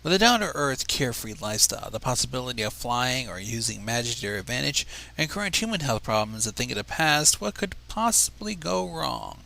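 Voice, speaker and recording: Animated, gravelly man narrating a story. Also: mid-range voice, brisk, hardly any pauses, low-quality recording. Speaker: livestream fanfic reader. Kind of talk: scripted story narration with casual asides.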